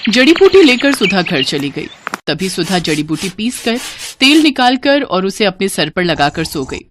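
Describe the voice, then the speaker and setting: A woman's voice: mid-range voice, very animated, moderate pace, a little hiss or hum. A woman narrator reading a scripted children's story.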